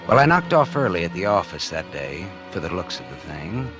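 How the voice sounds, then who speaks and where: A smug, scheming American publisher with a low voice that swings widely in pitch. He is a sardonic, confiding storyteller in a 1940s radio noir, on a vintage recording with music behind him. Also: very animated, a little fast. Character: scheming, smug publisher narrating his crime, smitten lover. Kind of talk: 1940s radio noir drama acting and narration.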